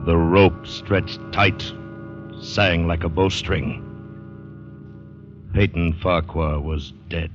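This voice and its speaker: Casting: ominous American narrator, extremely low, slow and solemn, vintage radio thriller. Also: male voice, steady tone, over music. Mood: grave. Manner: solemn, deliberate. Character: grave, menacing announcer of doom. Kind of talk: old-time radio drama narration. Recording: muffled vintage radio recording.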